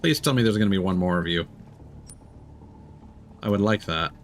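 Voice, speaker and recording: A man with a lowish voice gives casual gameplay commentary as a streamer. His pitch moves around a lot as he reacts, drops into muttered asides and swears. A faint noise with some clicking sits behind him. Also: expressive, moderate pace. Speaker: game streamer.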